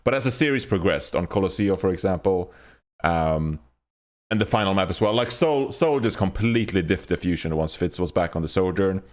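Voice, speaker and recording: A man's voice: low voice, animated, a little fast. A man hosting a casual esports panel, moderating and talking analytically through match predictions. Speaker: podcast host.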